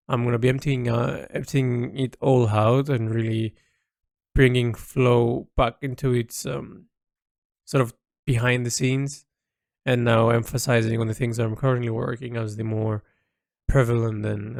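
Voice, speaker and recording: A man's voice: mid-range voice, vocal fry, darkish tone. A man talks through a personal audio log in an informal, reflective way. His delivery is hesitant, as if working out each thought aloud, with phrases that trail off. The sound is close and dry, with very little room echo.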